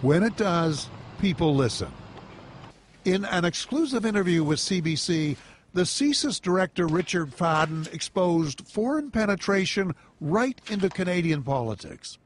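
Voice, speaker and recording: A man's voice: high voice, expressive, a little slow, slight background noise. An older man narrating a scripted news report as a correspondent.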